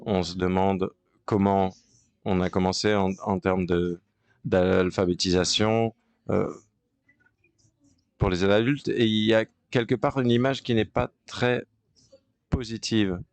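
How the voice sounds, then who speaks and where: Low, dry-voiced male conference interpreter. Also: level tone, dry recording. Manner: halting delivery with frequent pauses and restarts. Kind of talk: simultaneous interpretation of a panel discussion.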